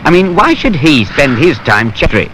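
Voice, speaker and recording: A man's voice: fairly high pitch, sing-song, normal speed, fairly bright tone. A British man reading plain-spoken, matter-of-fact, colloquial narration for a training film. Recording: noisy 1970s film soundtrack.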